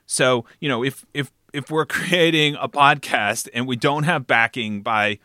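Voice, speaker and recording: A man's voice: mid-range voice, unhurried, dry recording, clean studio sound. A man hosting a casual conversational interview, often checking in with the listener mid-thought. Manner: animated rhetorical check-ins, often ending phrases with "right?". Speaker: podcast interviewer and fiction podcast creator.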